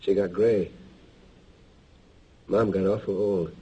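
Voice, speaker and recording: A man's voice: low-pitched, fairly even intonation, slow pace, dark tone, full character voice. An actor playing a young American man, an earnest and defensive grown son with wavering confidence, in a stage drama. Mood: quiet, reflective. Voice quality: husky.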